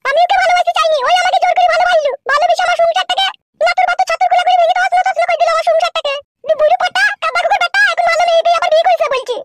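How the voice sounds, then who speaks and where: A scorned village mistress in a comedy cartoon, with an artificially raised, piercingly high voice. She scolds and threatens at a breakneck pace, and the sound is dry and close. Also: steady tone, very fast, few pauses, a bit bright, dry recording, soft background music. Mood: indignant ranting. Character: scorned, fiery, sharp-tongued village mistress. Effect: pitched-up voice. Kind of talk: amateur Bengali comedy cartoon dialogue.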